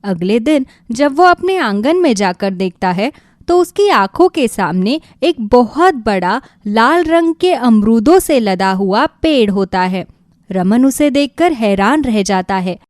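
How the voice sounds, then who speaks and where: Lively, high-voiced woman storyteller. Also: a little slow, no room sound, studio quality. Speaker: narrator. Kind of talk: scripted children's moral story narration.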